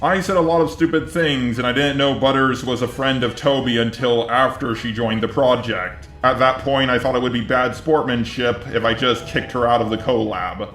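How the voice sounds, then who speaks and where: A man giving scripted online drama commentary in a measured, conciliatory way. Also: medium pitch, fairly even intonation, fairly bright tone, echoey room. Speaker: YouTube commentator.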